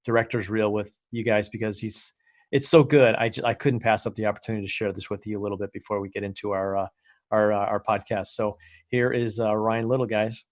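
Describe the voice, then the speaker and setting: A man hosting a podcast, introducing and questioning his guest in a friendly, halting way full of fillers. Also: fairly low pitch, flat delivery, no echo, clean studio sound. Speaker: podcast host. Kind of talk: casual interview hosting.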